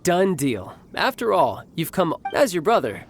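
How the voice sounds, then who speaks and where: An actor playing a confident, playful young fighter and doting older brother in a video game, with an assured, teasing manner. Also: male voice, fairly high pitch, very animated, a little slow, background noise. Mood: teasing, affectionate.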